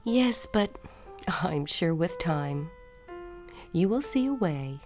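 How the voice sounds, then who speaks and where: A gentle, calm Missus Claus, voiced by a woman, offers reassurance in a fairly low voice. Faint music plays beneath this storybook character. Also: slow pace. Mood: reassuring.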